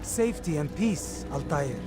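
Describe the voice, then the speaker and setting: An actor playing a courteous, thoughtful assassin giving formal, gentle counsel in a video game cutscene. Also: male voice, a little high, expressive, unhurried. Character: cautioning male assassin brother, courteous and thoughtful. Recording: loud music behind the voice.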